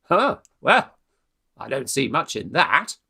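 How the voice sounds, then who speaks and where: An actor playing a brash, scheming young English undergraduate in a theatrical Victorian farce, exclamatory and bossy, with jolly old-fashioned slang. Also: male voice, medium pitch, sing-song, slow, gravelly, sharp tone, husky, exaggerated voice. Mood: mock-pompous reading. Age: young adult. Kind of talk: theatrical radio farce acting.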